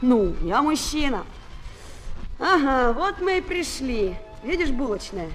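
A woman's voice: sits high, sing-song, slow, heavily acted. An actress playing a cheeky, brave, swaggering Parisian street boy in a Soviet children's radio play. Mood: gruffly kind. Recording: 1970s flexi-disc, loud music behind.

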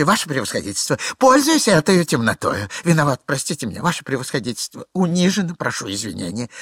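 A man's voice: a little high, a bit bright, breathy edge, no echo, studio quality, voice pushed up, exaggerated voice. A man voicing a flustered middle-aged husband in a story reading, babbling, pleading and correcting himself obsequiously. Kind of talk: theatrical literary reading.

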